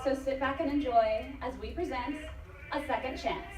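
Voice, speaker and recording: Female host, high voice, theatrical announcing; echoey stage, music loud behind her. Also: moderate pace, far from the mic. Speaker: show host. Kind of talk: theatrical scripted welcome. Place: convention live show stage.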